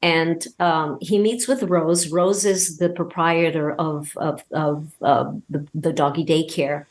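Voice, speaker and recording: A woman talking casually as an interview guest and telling anecdotes, emphatic and halting, often repeating words as she goes. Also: deep voice, fairly even intonation. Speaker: podcast guest, audio drama creator and voice actor.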